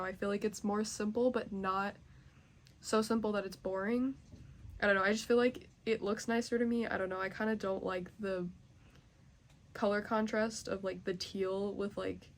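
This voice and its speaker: A young woman chatting casually as a book vlogger, nasally congested and rambling with many fillers. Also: mid-range voice. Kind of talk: casual chat.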